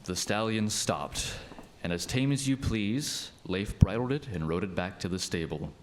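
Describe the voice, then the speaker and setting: A man narrating a scripted radio play tale as an expressive, dramatic storyteller. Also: lowish voice, unhurried, a little hiss or hum.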